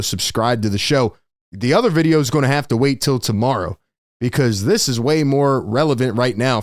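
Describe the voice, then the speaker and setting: An excitable man hosting a live sports reaction, his voice slightly hoarse from yelling yet still smooth and resonant. He talks in bursts of exclamation, recorded close and dry. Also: mid-range voice, no echo. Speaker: sports podcast host.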